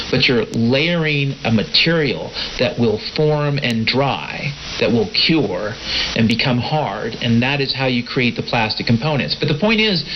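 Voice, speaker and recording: A man hosting an informal radio monologue, talking to his listeners in a folksy, familiar way. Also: mid-range voice. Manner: folksy, addresses listeners as friends, brothers and sisters. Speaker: host of a shortwave podcast broadcast. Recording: hissy, muffled shortwave radio broadcast.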